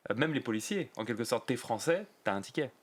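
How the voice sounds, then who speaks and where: A man's voice: mid-range voice, expressive, fast talker, breathy edge. A man from France with a French accent, hosting a casual podcast discussion and leading the topic.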